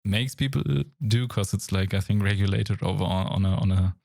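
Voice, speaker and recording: A man's voice: low-pitched, a little fast, gravelly, a little mellow, no room sound, studio quality. A young German man with a German accent, co-hosting a casual games podcast in a thoughtful, searching way. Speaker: podcast co-host. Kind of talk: casual chat.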